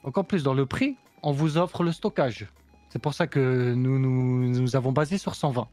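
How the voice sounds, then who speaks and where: A man voicing a composed, businesslike supplier with a German accent, talking in measured sales patter. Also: medium pitch, darkish tone, faint music behind, lightly acted. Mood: calm selling. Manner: smooth, measured salesman talk. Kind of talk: roleplay in character.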